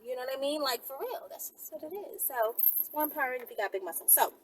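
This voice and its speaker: A woman's voice: high voice, lively intonation, quick pace. A woman hosting a casual question-and-answer session, speaking in a blunt, sassy way. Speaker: host answering viewer questions. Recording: faint background noise and room ambience.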